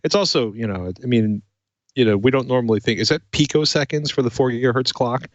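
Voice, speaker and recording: A man co-hosting a tech show, chatting casually and thinking out loud. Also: mid-range voice, brisk, no room sound, muffled recording.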